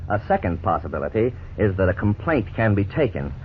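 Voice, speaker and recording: A thin, lightly noisy vintage radio recording carries a lawyer-narrator. His low voice is earnest and didactic, with measured, scripted authority in 1950s style. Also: very animated, highs cut off. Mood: earnest, explanatory.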